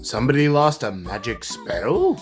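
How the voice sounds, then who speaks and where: A man's voice: medium pitch, sing-song, normal speed, faint music behind, lightly acted. A man voicing a kindly, befuddled older tinkerer in a cartoon comic dub, with a mild, polite, puzzled way of talking. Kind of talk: comic book fan dub cartoon acting.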